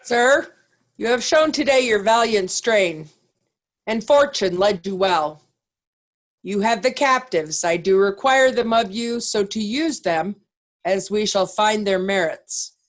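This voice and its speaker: Slow, stern, commanding nobleman played by a woman. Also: medium pitch, lightly acted. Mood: stern, formal. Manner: measured, formal, commanding delivery. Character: stern, righteous Duke of Albany, nobleman commanding victorious army. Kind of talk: Shakespearean reader's theatre.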